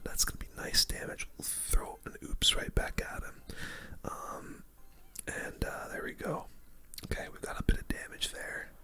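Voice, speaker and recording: A gamer whispers an ASMR commentary in a very bright, sibilant and breathy voice. The delivery is hushed and unvoiced throughout, spoken close to the mic with casual gamer slang. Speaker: gaming streamer commentating own matches.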